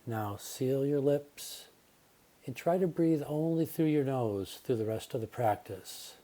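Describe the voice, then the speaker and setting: A man guiding a relaxation meditation as a yoga nidra teacher, speaking calmly and gently with unhurried pauses. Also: mid-range voice.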